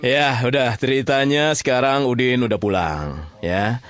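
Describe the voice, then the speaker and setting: A man's voice: medium pitch, measured pace, a bit bright. A man with an Indonesian accent telling a story informally, often checking in with his listeners. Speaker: storyteller narrator addressing listeners.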